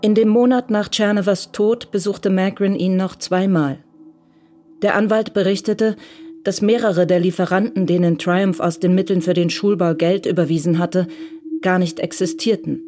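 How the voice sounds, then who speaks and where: A woman reading scripted literary narration for a radio crime drama in a measured, matter-of-fact way. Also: medium pitch, steady tone, darkish tone, slight background noise.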